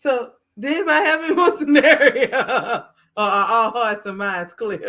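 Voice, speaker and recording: A woman's voice: a little high, normal speed, fairly bright tone. A woman hosting a podcast, moderating a group chat and laughing easily. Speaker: podcast host.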